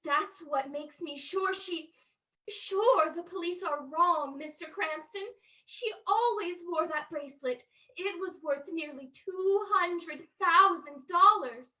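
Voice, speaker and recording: A woman's voice: extremely high pitch, takes it slowly, bright, forceful tone, full character voice. A woman playing an anxious, insistent young niece in an old-time radio drama, with a hesitant, emotional delivery. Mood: distressed, earnest. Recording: distant, dull lo-fi sound.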